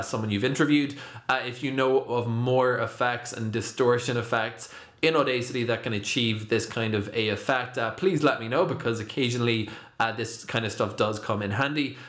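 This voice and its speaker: A middle-aged man gives a casual tech tutorial in a medium-pitched voice. The sound is roomy and echoing, as in a home office. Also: fairly bright tone. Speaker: YouTube tech presenter. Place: small home office, indoors.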